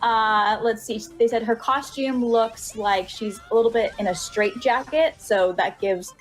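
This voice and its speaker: A woman voice actor chatting casually in an interview, telling stories in an animated, giggly way. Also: fairly high pitch, unhurried, faint music behind.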